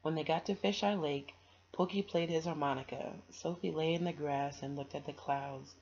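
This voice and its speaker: Gravelly-voiced woman storyteller, muffled recording. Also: deep voice, fairly even intonation, normal speed, darkish tone. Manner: encouraging, affectionate address to listeners. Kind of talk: children's storytime reading with casual asides.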